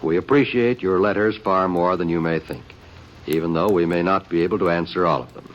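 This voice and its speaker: A male radio announcer reads a measured, courteous message in a fairly low voice. The recording is dull and muffled, like an old radio broadcast. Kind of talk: formal scripted announcement.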